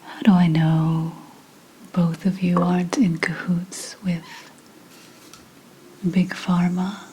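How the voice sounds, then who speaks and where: A woman playing a wary, sardonic old witch in an ASMR roleplay, speaking in a breathy whisper mixed with soft, deliberate speech. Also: fairly low pitch, flat delivery, a little hiss or hum. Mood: suspicious, probing. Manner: slow, deliberate, suspicious questioning with dramatic pauses. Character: wary, sardonic old 17th-century witch, potion maker.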